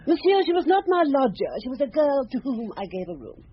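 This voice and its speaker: A woman's voice: high-pitched, very animated, brisk. Prickly, haughty older landlady. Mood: defensive. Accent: British. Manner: indignant, clipped, disdainful. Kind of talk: British radio drama acting. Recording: dull, hissy radio drama recording.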